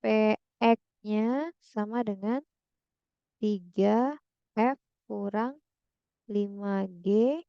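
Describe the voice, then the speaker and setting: A woman's voice: mid-range voice, drawn-out words, creaky, pauses often, dark tone, smooth, no room sound, highs cut off. A woman tutoring math through a worked example, thinking aloud and checking with her listeners as she goes.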